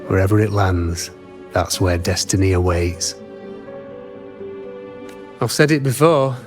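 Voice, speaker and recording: Wise old-ish smith, terse, slow measured sayings, music behind. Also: male voice, deep voice, animated. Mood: calm. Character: gruff older weaponsmith, wise and terse. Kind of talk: game voice acting.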